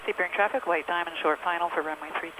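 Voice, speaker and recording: A woman's voice: fairly low pitch, fairly even intonation, quick pace. A woman pilot talking to herself and making radio calls over a headset in a small plane cockpit. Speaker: pilot, vlogger. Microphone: aviation headset. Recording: noisy small plane cockpit intercom audio.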